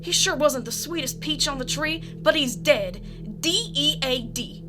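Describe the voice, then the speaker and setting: A blunt, mothering housekeeper played by a woman, with a very high voice and folksy, no-nonsense manner. Music runs clearly behind her in the radio drama. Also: lively intonation, measured pace. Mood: exasperated. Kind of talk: audio drama acting.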